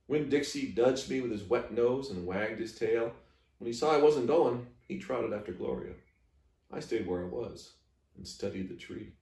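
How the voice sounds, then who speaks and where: A man's voice: medium pitch, lively intonation, moderate pace, gravelly, dark tone. A man reading aloud as a library storyteller, in a storybook narration style. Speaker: library reader. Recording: echoey room, distant microphone.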